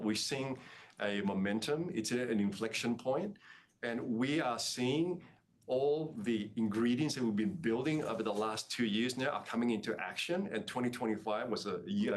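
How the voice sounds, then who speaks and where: Emphatic executive man on an earnings call. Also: fairly low pitch. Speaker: company executive. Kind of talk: earnings call answer to analysts.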